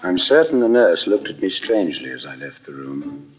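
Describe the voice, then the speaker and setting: An actor playing a troubled psychiatrist in an old radio drama, earnest, formal and hesitant. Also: male voice, low-pitched, big pitch swings, moderate pace, over loud music. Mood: brooding narration. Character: troubled, brilliant psychiatrist fearing for his own sanity. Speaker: narrator and lead actor. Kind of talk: old radio drama acting, first-person narration. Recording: dull vintage radio recording.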